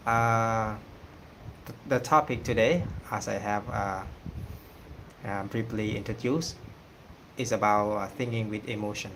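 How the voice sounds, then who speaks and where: A man from Cambodia with a Cambodian accent, whose first language is Khmer, talking casually on a livestream and pausing often with fillers. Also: fairly low pitch, steady tone, background noise. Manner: hesitant, many pauses and fillers. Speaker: singing channel host.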